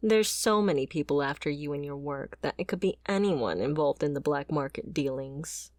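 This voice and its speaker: A woman's voice: low-pitched, animated, moderate pace, a little mellow, no room sound, clean studio sound. A woman playing a fiery, bitter mercenary and wounded former bodyguard in a confrontational, sardonic audio roleplay. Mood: sullen, dismissive. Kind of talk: dramatic ASMR roleplay acting.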